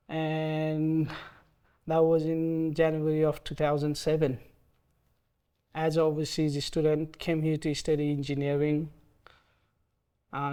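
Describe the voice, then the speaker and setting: A man in his thirties from Nepal with a Nepali accent, speaking hesitantly with many pauses in an oral history interview. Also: fairly high pitch, smooth. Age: 30s. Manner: soft-spoken, hesitant, frequent ums.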